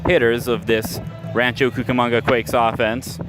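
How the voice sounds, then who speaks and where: A man doing radio play-by-play of a baseball game, giving a measured call with stats between pitches, recorded live at an outdoor ballpark. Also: over music. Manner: steady, measured call with stats between pitches, rising sharply on big plays. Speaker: play-by-play announcer. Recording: live ballpark recording with clear crowd noise.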